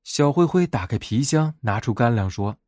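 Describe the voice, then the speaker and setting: A man narrating a bedtime fairy tale as a storyteller, in an expressive scripted read. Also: mid-range voice, normal speed, dry recording, studio quality.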